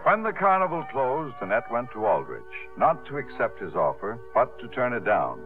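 An actor playing a courteous, suave theatre impresario, polished and urbane, in a 1950s radio drama. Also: male voice, medium pitch, sing-song, moderate pace. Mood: reflective, narrating. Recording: thin, phone-like 1950s radio broadcast, music.

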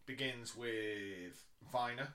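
Casting: male podcast co-host, medium pitch, bright sharp tone, loose and informal recapping style. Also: slow pace. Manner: informal, sweary. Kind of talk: casual chat recapping a TV episode.

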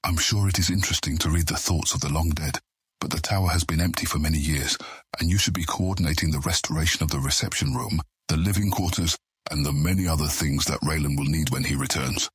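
A man's voice: extremely low pitch, quick pace, slightly rough, no room sound, full character voice. A man playing a stern, disapproving guild superior in an audiobook drama, speaking with curt, formal command. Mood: stern, impatient.

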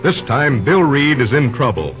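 A man's voice: medium pitch, big pitch swings, normal speed, dull audio. A man reading a scripted announcement in the manner of an old-time radio serial announcer. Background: loud music.

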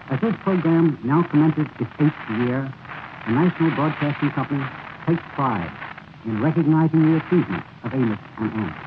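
A man giving a formal, measured prepared speech as a broadcasting executive. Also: mid-range voice. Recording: noisy, narrow-band 1930s radio broadcast.

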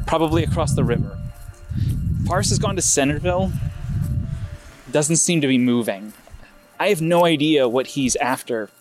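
A man's voice: a little high, lightly acted. A man playing a wry vigilante detective. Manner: dry, wisecracking, dictating terse case logs. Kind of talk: audio drama acting.

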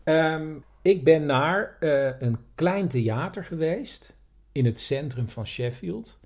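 A man with a husky voice chatting casually as a podcast host, hesitantly searching for his words. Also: a little high, expressive, slow, a little mellow. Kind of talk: casual storytelling chat.

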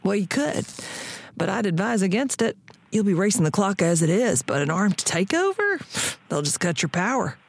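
A wry, world-weary frontier woman in an audio drama. Her voice sits at a middle pitch, folksy and plain-spoken. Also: very animated, normal speed, creaky, no room sound. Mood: knowing, practical.

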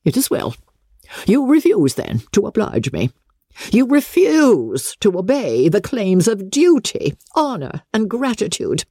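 Casting: haughty, scornful grande dame for a storybook played by a female narrator, indignant, sweeping pitch, husky edge. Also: very animated, measured pace, dark tone, no room sound, studio quality, exaggerated voice. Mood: incensed, accusing. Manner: imperious, declamatory, indignant. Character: haughty, incensed older aristocratic lady, imperious and scornful. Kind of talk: dramatic classic novel reading.

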